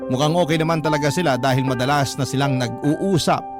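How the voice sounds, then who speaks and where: An actor playing a sincere, respectful letter writer who narrates a family story in a reflective first-person radio drama style. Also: male voice, medium pitch, quick pace, natural voice. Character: earnest letter writer recounting a father's romance. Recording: loud music under the narration.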